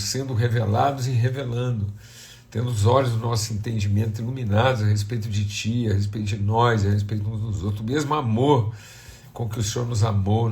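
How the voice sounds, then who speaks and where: A Brazilian man leads an informal devotional as a pastor, talking to listeners like family. His voice is low and mellow, with plenty of gravelly creak. He sounds a little hoarse and stuffed up. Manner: conversational, addressing viewers as family and brothers. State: slightly hoarse and congested. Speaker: pastor hosting a devotional livestream. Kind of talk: informal devotional talk with prayer.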